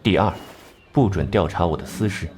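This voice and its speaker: An actor playing a cold, aloof and exacting detective consultant in an animated crime drama, speaking in formal, clipped, literary phrases. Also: male voice, deep voice, moderate pace, music in the background, close to an everyday voice. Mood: cool, demanding.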